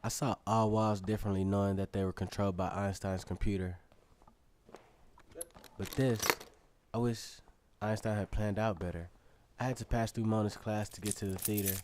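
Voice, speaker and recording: A man's voice: fairly low pitch, fairly even intonation, normal speed, pauses often, a little mellow, slight background noise, lightly acted. An actor playing an eager young student who narrates his own story earnestly in a radio drama. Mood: curious, determined. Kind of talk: scripted first-person radio drama narration.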